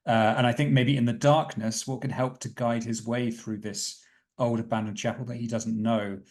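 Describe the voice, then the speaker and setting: A man's voice: mid-range voice, flat delivery, moderate pace, a little mellow. Man, game player, improvised narration, thoughtful, wordy, dryly theatrical phrasing. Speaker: tabletop roleplaying game player.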